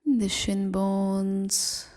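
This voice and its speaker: A woman leading a guided meditation in a gentle, soothing, half-whispered voice, leaving long pauses between phrases. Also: mid-range voice, little pitch movement. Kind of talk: guided evening body scan meditation.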